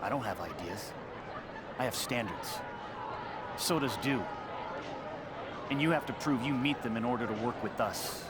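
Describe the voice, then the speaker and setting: Guy playing a cocky self-important gamer champ for a game cutscene, super bombastic, loud crowd and street noise behind. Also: medium pitch, measured pace, long pauses, natural voice. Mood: pompous boasting. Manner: pompous, bombastic, grandiose delivery. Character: boastful, swaggering self-styled video gaming champion, easily offended. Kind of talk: video game cutscene dialogue.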